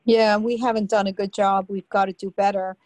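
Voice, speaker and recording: A woman's voice: medium pitch, level tone, brisk, darkish tone, no room sound. A woman hosting an interview, prompting her guest with questions and fillers. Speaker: interview host. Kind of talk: interview conversation.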